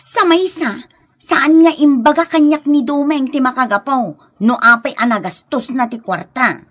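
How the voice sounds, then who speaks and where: High, piercing angry Filipino wife. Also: female voice, normal speed, a bit bright. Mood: upset, questioning. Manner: scolding, indignant, melodramatic. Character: angry betrayed Filipino wife, fiery and scolding. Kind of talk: radio comedy drama acting.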